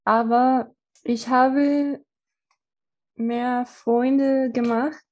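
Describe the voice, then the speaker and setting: A woman's voice: fairly high pitch, fairly even intonation, very slow. A woman with a Mexican accent, a native Spanish speaker, chatting casually and hesitantly as she searches for words. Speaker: interviewee. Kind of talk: casual chat.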